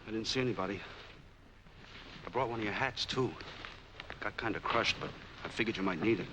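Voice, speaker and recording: Humble American street friend, acted by a man, deep deliberate voice, 1940s noir picture with a noisy old soundtrack. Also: close to an everyday voice. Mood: humble, friendly. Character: humble, loyal down-and-out friend, plain-spoken. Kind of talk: 1940s film noir acting.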